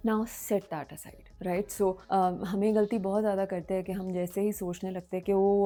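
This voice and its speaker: A woman's voice: medium pitch, warm tone. A young Pakistani woman with a Pakistani Urdu accent, explaining personal finance in a casual style and slipping into English phrases with frequent "right?" checks.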